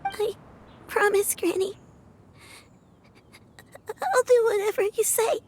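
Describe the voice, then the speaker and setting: A devoted young girl in a video game, her voice pitched very high. She pleads through tears, words tumbling out with emotion. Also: sing-song, takes it slowly, darkish tone, a little hiss or hum, heavily acted. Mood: pleading. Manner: pleading, tearful.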